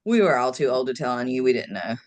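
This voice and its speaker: Woman host, playful questioner, quick to laugh. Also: rumbling low, big pitch swings, darkish tone. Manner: teasing, asks questions, laughs often. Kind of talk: casual interview chat.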